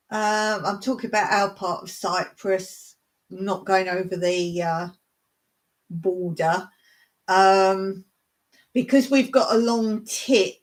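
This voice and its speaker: A woman hosting a casual live stream, talking to viewers in a rambling, chatty way and laughing often. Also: mid-range voice.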